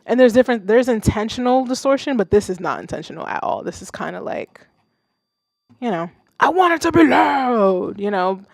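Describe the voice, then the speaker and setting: A woman's voice: on the high side, expressive, normal speed, gravelly, no room sound, studio quality. A young woman with a Haitian accent, hosting a livestream and giving casual, rambling feedback on submitted tracks, swearing freely and laughing often. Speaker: streamer reviewing submitted music.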